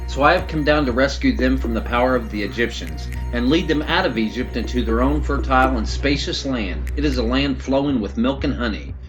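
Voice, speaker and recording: A man's voice: mid-range voice, a little fast, hardly any pauses, close to an everyday voice, poor audio. Man voicing solemn commanding God over music. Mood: solemn, declarative. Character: commanding male God speaking from a burning bush. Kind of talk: scripted scripture reading. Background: prominent background music.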